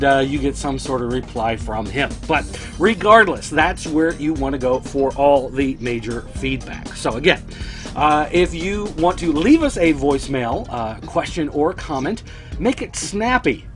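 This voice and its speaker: A man's voice: a little high, lively intonation, normal speed, faint music behind. A man hosting a toy collecting news show, chatting casually to viewers in a dry, sardonic way.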